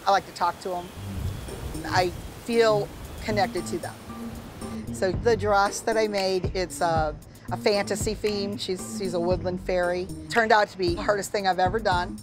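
A woman in her sixties from Missouri chats in a flustered, giggly, self-deprecating way. It is a reality show confessional, with mid-range pitch. Music plays clearly under her voice. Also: fairly even intonation, moderate pace. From Missouri, USA. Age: 60s. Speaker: contestant, seamstress. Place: indoor studio by windows.

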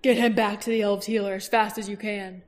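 A gruff young male warrior leader giving orders in the middle of a battle, from a storybook reading, as a woman narrator puts him on. He sounds firm and commanding, and his voice is close to the reader's own. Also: mid-range voice, little pitch movement, normal speed, lightly acted.

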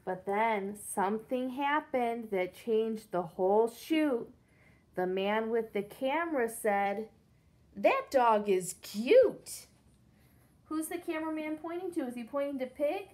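A woman's voice: a little high, animated, measured pace, a little mellow. A woman in her thirties, a teacher reading a picture book expressively and explaining it simply for young children. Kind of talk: picture-book read-aloud with questions to children. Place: indoors, small room against a brick-patterned wall.

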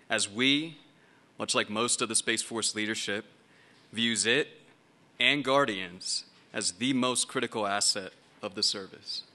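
Brief: man in his 30s, formal event host at a lectern, low voice with a sharp, forceful edge. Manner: formal, reading prepared remarks. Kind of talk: scripted introduction. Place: hall at a lectern. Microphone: lectern microphone.

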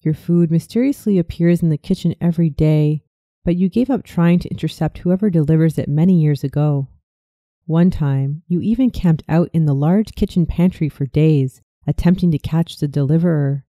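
A narrator reading a scripted guided visualization story in a soothing, measured manner. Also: medium pitch, no room sound, dull audio.

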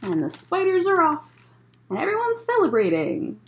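A woman in her thirties reading a picture book aloud at a children's story time, expressive and deliberate, with explanatory asides. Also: very high voice, slight background noise. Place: indoors, library room with bookshelves.

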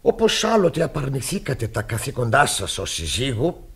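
An actor playing a stern, easily scandalized pastor in Greek radio theatre, formal and preachy, with a husky voice. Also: male voice, mid-range voice, very animated, full character voice. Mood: stern, reproachful.

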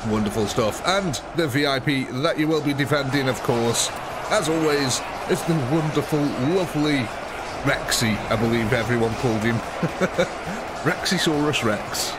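A man's voice: medium pitch, a little slow, a loud crowd, very noisy. A man hosting a live game stream in casual commentary, cheerful and chatty, laughing often and sniffly with a cold. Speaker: livestream gaming host.

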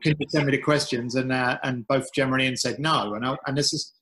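A man's voice: mid-range voice, steady tone, fast talker, fairly bright tone, poor audio. A man answering interview questions on a podcast, thoughtful and a little hesitant, restarting his phrases as he thinks. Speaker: podcast guest, cave and wreck diver.

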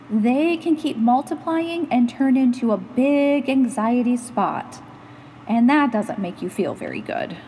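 A woman reading a children's picture book aloud in an animated, instructive, expressive way. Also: sits high, long reverb tail, a little hiss or hum. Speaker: storytime reader and host.

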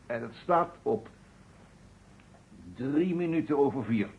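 An actor playing a brusque, sardonic chief police detective in a radio detective drama. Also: male voice, mid-range voice, lively intonation, slow pace, breathy edge, exaggerated voice. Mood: brisk, grave. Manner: curt, sardonic. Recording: dull, muffled old radio recording.

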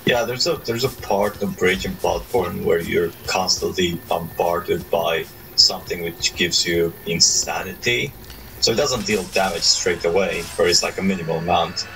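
A man's voice: low-pitched, moderate pace, creaky, sharp tone, slight background noise, rough recording. A man chatting casually while playing a co-op game, explaining things in an easygoing way. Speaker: gamer in co-op. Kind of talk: casual gameplay chat.

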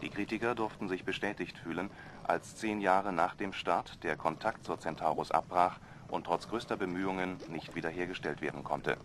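An actor playing a radio news announcer who reads a special bulletin in a formal, even manner in a radio play. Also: male voice, low voice, normal speed, a little hiss or hum, lightly acted.

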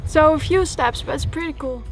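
High-voiced young woman, chatty hiker. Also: normal speed, soft background music. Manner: chatty, enthusiastic, uses "like" a lot. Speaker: hiking vlogger. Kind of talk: casual travel vlog chat. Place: outdoors on a mountain trail. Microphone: camera held at arm's length.

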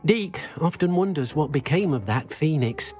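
A man's voice: fairly high pitch, expressive, moderate pace, a little mellow, faint music behind, lightly acted. An actor voicing a meek, guilt-ridden male house-elf in a video game, who speaks of himself in the third person. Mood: sorrowful.

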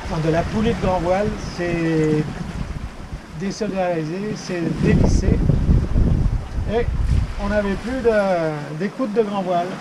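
A middle-aged man with a fairly high voice talks calmly to the camera, stopping and restarting as he explains. He is recorded by an action camera in an open sailboat cockpit. Loud wind and rushing water run under everything he says. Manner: calm, explanatory, with many restarts and hesitations. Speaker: sailing vlogger and skipper. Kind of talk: casual narration to camera. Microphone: action camera mounted on the boat.

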